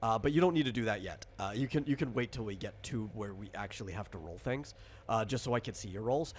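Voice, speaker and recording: A man's voice: lowish voice, fast talker, husky. A man hosting and explaining a game in casual chat, thinking aloud in a rambling way. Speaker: game designer and host.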